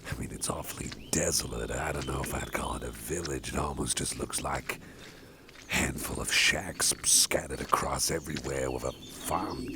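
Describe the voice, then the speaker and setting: A man playing a whispering, gravelly softie boss. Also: very deep voice, background noise, exaggerated voice. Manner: soft, close whispering with chuckles. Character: protective, soft-hearted male boss. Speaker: ASMR roleplay performer. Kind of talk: ASMR personal attention roleplay.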